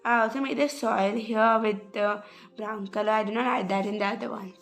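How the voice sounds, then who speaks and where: Young woman with a slightly rough voice. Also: medium pitch, fairly even intonation, normal speed, faint music behind. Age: young adult. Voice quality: hesitant, many "sort of" fillers. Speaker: journal vlogger. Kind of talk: casual craft walkthrough voice-over.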